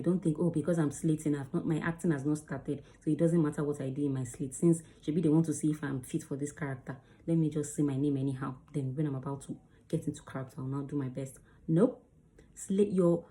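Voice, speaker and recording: A woman's voice: sits low, mellow, poor audio. A woman giving casual, emphatic advice on self-tape auditions, acting out examples as she goes. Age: adult under 35. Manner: chatty, emphatic, demonstrates lines. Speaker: acting coach. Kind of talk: casual teaching to camera.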